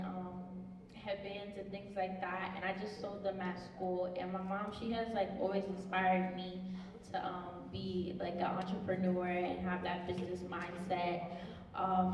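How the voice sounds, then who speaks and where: Flat-voiced teen girl in echoey hall. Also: mid-range voice. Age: late teens. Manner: casual, uses "like" often. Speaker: panelist, social media manager. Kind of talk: personal introduction at a community panel. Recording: echoey hall, distant mic, audible noise.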